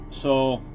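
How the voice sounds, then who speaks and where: A man giving casual, informal live commentary as a game streamer, reacting as he goes. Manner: informal, reactive, some swearing. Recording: prominent music behind voice.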